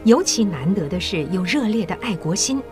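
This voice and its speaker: An actress playing a middle-aged female school principal who secretly recruits agents, speaking in a measured, coaxing and kindly authoritative way in an audio drama. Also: mid-range voice, lively intonation, music in the background, natural voice. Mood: encouraging. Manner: measured, coaxing, elder's patient tone.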